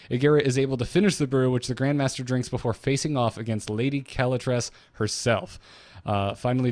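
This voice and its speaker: A man's voice: medium pitch, darkish tone, dry recording. A man co-hosting a podcast, talking informally and with enthusiasm as he recaps and discusses game lore as a fan. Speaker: podcast co-host.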